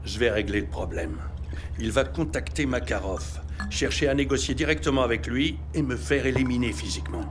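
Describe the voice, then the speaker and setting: An actor playing a hard, scheming mafia boss in a crime audio drama, curt and authoritative. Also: male voice, mid-range voice, lively intonation, a little fast, close to an everyday voice. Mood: commanding. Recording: loud music behind dialogue.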